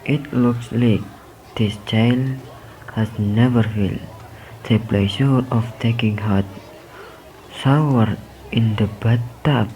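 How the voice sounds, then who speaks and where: Guy as a cold bully ringleader, slow menacing talk, amateur radio play, bit of noise. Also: medium pitch, fairly even intonation, mellow, close to an everyday voice, low-quality recording. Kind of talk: student radio drama acting.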